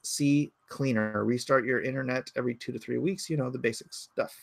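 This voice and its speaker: A man's voice: medium pitch, fairly even intonation, a little fast, gravelly, no room sound. A man in his forties hosting a casual livestream from his home computer, rambling and thinking aloud as he answers chat comments. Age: 40s. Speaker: livestream host.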